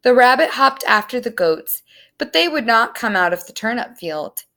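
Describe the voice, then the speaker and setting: A woman reading a children's storybook aloud as narrator, with simple, deliberate phrasing. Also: a little high, big pitch swings, sharp tone.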